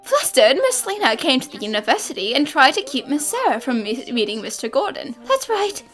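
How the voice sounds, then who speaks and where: A woman's voice: high voice, very animated, fairly quick, fairly bright tone. A woman voicing a young female detective explaining a case in an anime dub. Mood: explanatory. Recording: background music under the dialogue.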